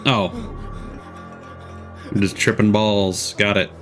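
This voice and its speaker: A man's voice: lowish voice, level tone, takes it slowly, a bit bright. A man streaming casual live commentary as he reacts to a games showcase. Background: game trailer music.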